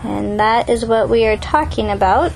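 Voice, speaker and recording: Woman meeting organizer, high voice, brisk and teasing; office speakerphone with steady noise. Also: vocal fry, poor audio. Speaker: meeting organizer on a conference call. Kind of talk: casual office chat and call roll.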